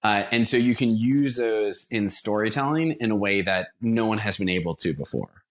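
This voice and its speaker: A man's voice: lowish voice, expressive, moderate pace. A man speaking as a guest in a casual podcast interview, with an enthusiastic, conversational manner. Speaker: interview guest, radio theater producer.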